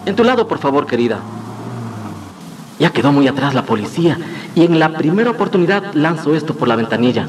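Man acting a jittery, guilty husband, wildly swinging pitch. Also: big pitch swings, fairly quick. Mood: nervous, hurried. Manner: agitated, muttering, exclaiming. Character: nervous, guilty husband, secretly panicking while driving. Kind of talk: Spanish-language radio drama acting. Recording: noisy 1990s radio drama with car sounds.

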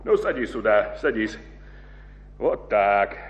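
An actor playing a hearty village priest and old friend, calling out jovially in a theatrical radio play. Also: male voice, on the low side, big pitch swings, takes it slowly. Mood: jovial, hailing. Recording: echoey, dull lo-fi 1960s radio recording.

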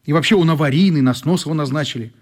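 A male actor plays a frank, sympathetic man in a radio drama. His voice sits slightly high for a man and has a husky grain. The delivery is highly animated as he explains things. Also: racing, close to an everyday voice. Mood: frank, confiding. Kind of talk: radio play acting.

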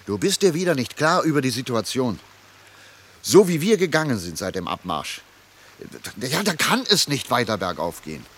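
A man's voice: mid-range voice, very animated, moderate pace, fairly bright tone, breathy edge. An actor playing a bossy, cocksure fugitive man who scolds and lectures his comrade impatiently, in a German radio play. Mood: impatient, scolding.

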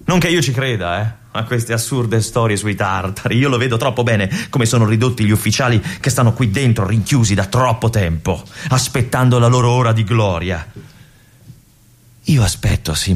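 An actor playing a restless young army lieutenant in a theatrical monologue, with an intense, halting delivery that moves between hushed and urgent. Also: male voice, on the low side, close to an everyday voice. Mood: wry, self-assured. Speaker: stage actor performing a monologue.

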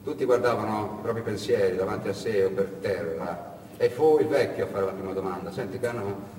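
A man telling a story theatrically and expressively, swinging between hushed and loud delivery with dramatic pauses. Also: fairly low pitch. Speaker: storyteller, theatre monologue performer. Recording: echoey hall, far from mic, noisy.

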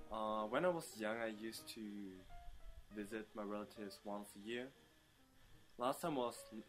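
A young man from Germany answering interview questions casually, with many pauses and ums and playful outbursts. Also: lowish voice, rough recording. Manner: hesitant, many ums, bursts into playful loud laughter. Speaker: interview guest.

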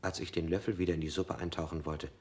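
A man's voice: sits low, fairly even intonation, darkish tone, breathy edge. An actor playing an evasive man under interrogation, rambling in strange images and sometimes whispering. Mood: dreamy. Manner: dreamy, halting associations, sometimes whispered. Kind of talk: modernist radio play acting.

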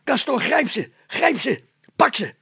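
An enraged dog owner in a storybook. He bellows short barked commands in a loud, raised, rough voice, pitched far above normal talk. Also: very animated, measured pace, no room sound, full character voice. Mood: furious. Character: furious bellowing man commanding his guard dog.

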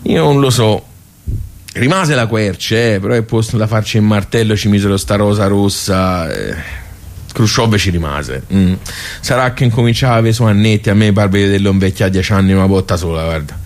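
A man's voice: fairly low pitch, a little fast, lightly acted. A man playing a wry, nostalgic regular of a workers' club in a radio monologue, colloquial and rambling, with a Tuscan accent. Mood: wry, resigned. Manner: rambling, colloquial, self-interrupting. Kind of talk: radio theatre monologue, conversational.

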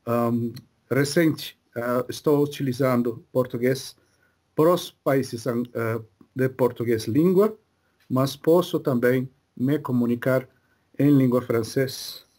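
Man voicing a calm male Cree spirit guide; very slow, broken delivery, mid pitch. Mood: solemn. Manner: slow, broken phrasing with many pauses. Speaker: medium channeling a spirit. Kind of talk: slow halting spiritual channeling.

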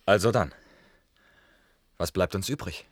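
Slow talking military boss, gruff n resigned, heavy pauses, radio play. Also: male voice, sits low, no room sound, studio quality. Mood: grim resignation. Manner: curt orders, heavy hesitant pauses. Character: embattled male military commander, weary, gruff, resigned. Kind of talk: science-fiction radio play acting.

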